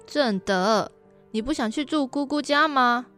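A woman's voice: sits high, unhurried, fairly bright tone, soft background music. A woman acting an insistent aunt in a radio drama, with a Taiwanese Mandarin accent. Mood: urging. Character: insistent aunt urging her nephew along.

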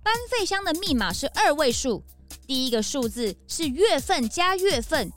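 Brief: bright-eyed kid animal sidekick, high and very animated, earnest, children's detective drama. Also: female voice, normal speed, soft background music. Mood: earnest, deducing. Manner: inquisitive, excitable, earnest.

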